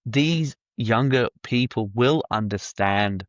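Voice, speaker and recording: A man's voice: mid-range voice, slow, no room sound, highs cut off. A man appearing as a podcast guest, giving confident advice in casual conversation. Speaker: marketing director guest. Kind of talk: casual interview chat.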